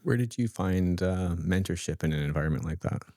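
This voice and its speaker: Male podcast host, extremely low voice, dry, brief prompting questions. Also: animated, brisk, a little mellow, dry recording. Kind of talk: interview questions.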